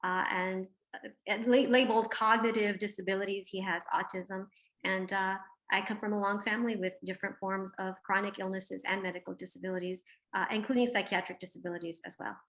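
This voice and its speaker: A woman introducing herself as a scholar on a video call, in a measured academic way. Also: mid-range voice, fairly even intonation, fairly bright tone. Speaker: panelist, scholar. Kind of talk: panel self-introduction.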